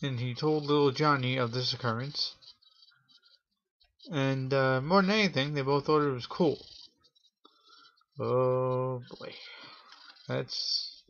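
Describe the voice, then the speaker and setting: A man hosting a Halloween story show, telling a spooky tale in a theatrical showman's manner with drawn-out pauses. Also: medium pitch, fairly bright tone. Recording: dull lo-fi audio with clear noise.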